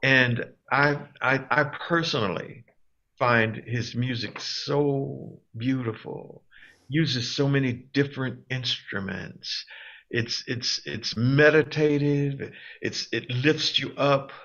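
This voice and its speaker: A man hosting a casual interview, speaking slowly with a bright, forceful tone. He often stumbles and repeats his first words. The sound is dull and lo-fi. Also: medium pitch, steady tone. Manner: halting, repeats words as he starts. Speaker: interview host.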